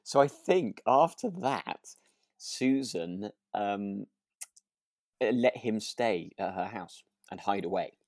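A man's voice: fairly low pitch, animated, slow pace, a little mellow, dry recording, clean studio sound. A British man co-hosting a podcast in casual chat, with a hesitant, apologetic, rambling way of talking. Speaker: podcast co-host.

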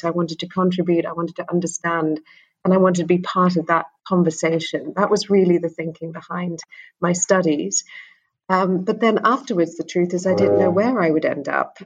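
A woman's voice: fairly low pitch, slightly rough, muffled recording. A middle-aged woman born in England, speaking candidly and earnestly as an interview guest, often repeating words as she starts a thought. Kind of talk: conversational interview.

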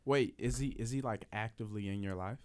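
A man's voice: lowish voice, a little fast, darkish tone, smooth. Young man hosting a casual podcast. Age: 20s. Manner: informal, loose phrasing with many "like" fillers. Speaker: podcast host.

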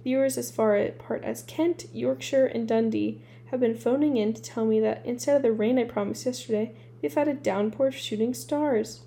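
Woman doing a folksy, chatty male weatherman, mellow and light. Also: fairly high pitch, slight background noise, close to an everyday voice.

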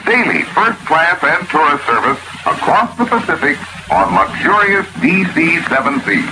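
A man's voice: on the high side, very animated, normal speed, background noise. A man announcing an old-time radio commercial in a scripted sales style. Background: music.

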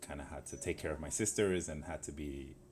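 A man's voice: extremely low pitch, a little fast, a little mellow, a little hiss or hum. A man in his twenties from Los Angeles, co-hosting a casual podcast, rambling and laughing at himself. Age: 20s. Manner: rambling, self-interrupting, laughs often. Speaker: podcast co-host. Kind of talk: casual chat.

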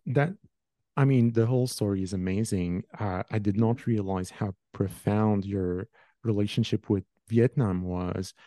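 A man with a low, mellow voice hosts a podcast interview. He asks his questions politely and at a measured pace, pausing on filler words. His voice sounds dry and close, with no room echo. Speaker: podcast host. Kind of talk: interview hosting.

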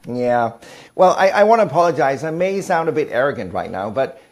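A man's voice: mid-range voice, big pitch swings, fairly quick. A man from Denmark with a Danish accent, speaking conversationally as an expert guest and explaining his views with emphatic repetition. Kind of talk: conversational interview answers.

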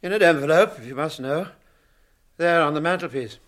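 A man's voice: fairly high pitch, a little fast, fairly bright tone, close to an everyday voice. A British man playing a fussy, testy old hobbit in a radio drama, chatty and prickly in manner. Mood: flustered.

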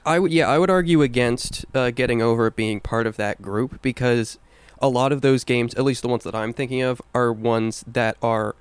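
A man with a low, smooth voice talks games on a podcast, earnest and deliberate with a dry wit. The sound is close and dry, with no room around it. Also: steady tone, no room sound. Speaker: podcast co-host. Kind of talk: casual chat.

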